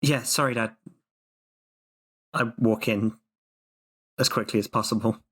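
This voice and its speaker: A man's voice: mid-range voice, steady tone, measured pace, fairly bright tone, slightly rough. Rambling man playing a tabletop game. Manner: flustered, rambling excuses. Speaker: player. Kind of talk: casual tabletop play in character.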